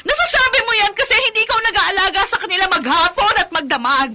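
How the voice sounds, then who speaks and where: A woman's voice: very high-pitched, animated, fast, very noisy. An actress playing a bitter, exhausted mother in an emotional Filipino radio drama. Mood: anguished, tearful outburst. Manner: emotional, anguished, forceful. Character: bitter, exhausted mother resentful of her life.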